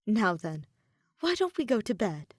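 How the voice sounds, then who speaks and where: A woman reading a story, voicing a composed, gentle young woman leader who speaks formally and reassuringly. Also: medium pitch, sing-song, unhurried, no echo, clean studio sound.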